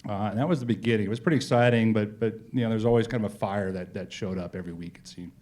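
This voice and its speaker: A man's voice: lowish voice, very fast, a little mellow. A man speaking as a company executive in a casual on-stage interview, telling colloquial stories with frequent asides.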